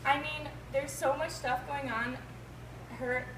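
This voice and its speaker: A woman with a slightly high voice reads a children's book as a teacher would to a class. She stresses and stretches key words. The sound is echoey and a bit distant, with noticeable noise. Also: steady tone, slow. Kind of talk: expressive picture-book read-aloud. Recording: echoey, noisy room, distant microphone.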